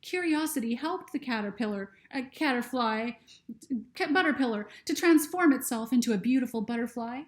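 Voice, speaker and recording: A woman's voice: fairly high pitch, lively intonation, normal speed, pitched above the performer's own voice, close to an everyday voice. A woman voicing Lucy, a sensitive, earnest girl, speaking in a slightly raised, tearful storybook voice.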